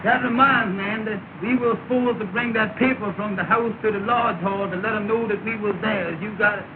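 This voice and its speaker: A man acting a fretful, simple sidekick with a high voice and a stagey Southern US dialect. It is early film comedy, heard through a tinny, noisy soundtrack. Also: fairly even intonation, fairly quick, natural voice. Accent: stage imitation of Southern US dialect.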